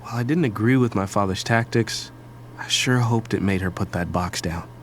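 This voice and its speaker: A man playing a wry, expressive adult son who narrates an audio drama in the first person. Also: on the low side, normal speed, a little hiss or hum, natural voice. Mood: wry, worried. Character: wry adult son, doctor, eavesdropping on his parents.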